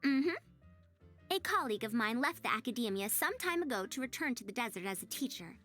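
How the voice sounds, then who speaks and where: A woman's voice: on the high side, very animated, brisk, fairly bright tone, soft background music. A woman playing a prim, proud but kindly young-sounding researcher in a video game, speaking formally and articulately in wordy, old-fashioned phrases. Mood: thoughtful, kindly. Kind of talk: scripted video game character dialogue.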